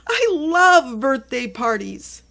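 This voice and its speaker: A woman's voice: sits high, very animated, slow, creaky, bright, forceful tone, voice pushed up, heavily acted. A woman voicing an eager, earnest young schoolgirl in a storybook, with emphatic, questioning delivery. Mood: excited.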